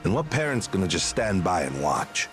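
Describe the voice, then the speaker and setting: A man's voice: low voice, big pitch swings, moderate pace, a bit bright, faint music behind. An actor playing an earnest, loyal veteran pirate crewman in a video game, explaining things like a storyteller. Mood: somber, earnest.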